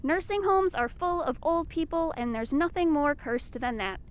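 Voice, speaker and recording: A woman's voice: lively intonation, normal speed. A woman playing a cheery but firm nursing home carer, perky and chiding, in an audio fiction comedy. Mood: persuasive. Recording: low rumbling background noise.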